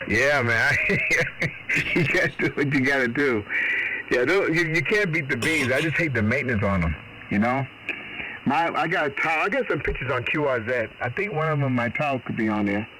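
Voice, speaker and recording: A man's voice: medium pitch, expressive, normal speed. A man from Boston in casual, folksy, rambling conversation, heard over shortwave amateur radio. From Boston, United States. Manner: folksy, rambling, frequent "man" and "you know". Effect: heard over shortwave ham radio. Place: home radio shack. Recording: noisy shortwave radio transmission. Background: radio static.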